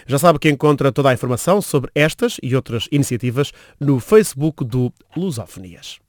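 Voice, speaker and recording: A man with a European Portuguese accent, hosting a radio program and reading listings and closing credits in a measured, formal announcer style. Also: medium pitch, animated.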